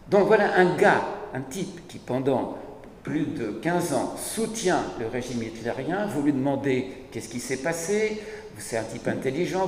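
Older male speaker, hoarse, ironic, with a lively rising and falling voice; desk mic in a big reverberant hall. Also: mid-range voice, slight background noise. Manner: ironic, animated, gestures while speaking. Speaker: speaker at a public debate. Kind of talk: lively public talk on philosophy. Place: large hall, seated at a panel table. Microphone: desk mic on a stand. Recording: echoey hall from a distance.

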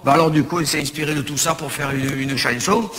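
A man's voice: a little high, fairly even intonation, fast, fairly bright tone. A man with a Marseille accent chatting with a live audience from a stage, rambling with hesitant restarts. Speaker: comedian on stage. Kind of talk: stand-up chat with the audience. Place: large theater stage. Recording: echoey hall with crowd.

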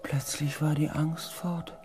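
An actor playing a shaken space expedition member in a radio drama, with a husky, breathy voice and a hushed, halting manner. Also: male voice, fairly high pitch, flat delivery, whispered, music in the background. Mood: tense, hushed. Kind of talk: radio drama narration and acting.

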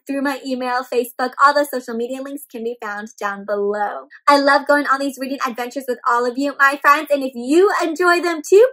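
A young woman hosting an interactive picture-book read-aloud for small children, expressive and animated, asking them questions as she goes. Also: sits high. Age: young adult. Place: indoor room at home with plants and bookshelf.

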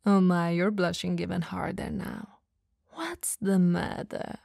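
A woman playing an elegant, dominant vampire lady who teases flirtatiously yet caringly in an ASMR roleplay, softly and breathily. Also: lowish voice, fairly even intonation, no echo, clean studio sound, heavily acted. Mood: teasing, seductive. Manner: soft, breathy, slow teasing delivery, often dropping to whispers.

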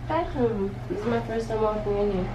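A young woman giving an excited, giggly apartment tour, naming each thing she finds. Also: mid-range voice, brisk, dull audio. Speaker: vlogger. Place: small apartment indoors. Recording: noisy handheld home video.

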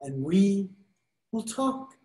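An older man reading the part of the devil disguised as a friar, slow and coaxing, in a video call reading. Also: high voice, big pitch swings, dark tone, heavily acted. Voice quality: slow, drawn-out, coaxing delivery. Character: devil posing as a sweet-talking friar, male. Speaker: reader in readers theater. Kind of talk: amateur readers theater over video call. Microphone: video call webcam.

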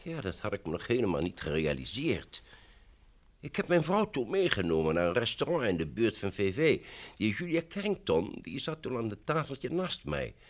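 An actor playing a polite, obliging, assured official in an old Dutch radio drama, with a formal, explanatory manner. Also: male voice, mid-range voice, very animated, normal speed. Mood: curious.

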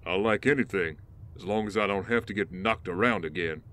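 A man's voice: low-pitched, fairly quick, fairly bright tone, slight background noise. A man playing a loyal vigilante teammate in an audio drama, wry and grumbling. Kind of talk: naturalistic audio drama acting.

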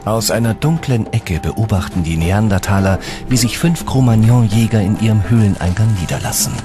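Male documentary narrator, lower register, measured dramatic storytelling, clear music bed. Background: background music.

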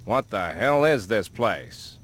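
An actor playing a skeptical, no-nonsense federal agent in a video game, speaking bluntly. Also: male voice, lowish voice, big pitch swings, takes it slowly, noisy background. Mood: skeptical, urgent. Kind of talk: video game cutscene acting.

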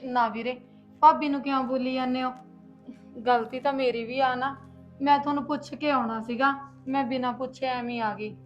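A woman's voice: sits high, fairly even intonation, normal speed, lo-fi sound, soft background music, natural voice. A woman playing a meek married younger sister, pleading and conciliatory, in a family drama. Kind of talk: Punjabi domestic drama dialogue.

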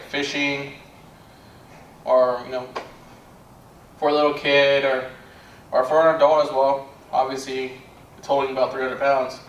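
A young man giving a casual product demonstration in a plainspoken, low-key way, recorded indoors on a distant camera mic. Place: bare indoor room with carpet. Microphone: far camera. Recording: roomy echo, distant mic, background noise.

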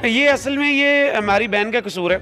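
A man's voice: very high-pitched, bright, forceful tone, soft background music. A man in his forties with a Pakistani accent, answering an interview politely as a rescue service spokesman. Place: emergency call-centre control room. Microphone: reporter's handheld microphone held to him.

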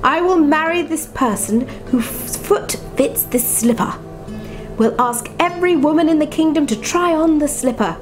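A woman's voice: high-pitched, normal speed, pitched above the performer's own voice, lightly acted. A woman voicing an earnest, smitten young fairy-tale prince in a declaring, proclamatory manner. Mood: determined. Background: music.

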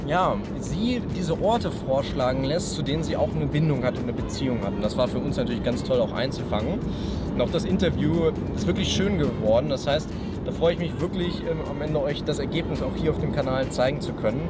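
A young man vlogging casually from a van on a road trip, talking with excitement and lots of fillers. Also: on the high side, brisk, over loud music. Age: young adult. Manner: chatty, excited, many fillers. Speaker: vlogger and filmmaker. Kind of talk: casual travel vlog chat. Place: inside a van.

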